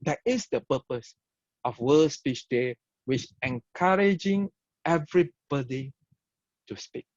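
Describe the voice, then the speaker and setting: Slow, emphatic Malaysian man hosting. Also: mid-range voice, expressive, no echo. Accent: Malaysian English. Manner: measured, emphatic, speaks in short repeated phrases. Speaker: event host and organizer. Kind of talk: opening speech at an online event. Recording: dull, lo-fi livestream audio.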